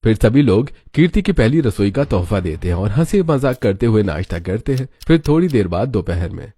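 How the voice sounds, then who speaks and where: A man's voice: lowish voice, sing-song, a little fast, dark tone, breathy edge, no room sound. A man narrating a scripted cartoon story. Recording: music behind the voice. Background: background music.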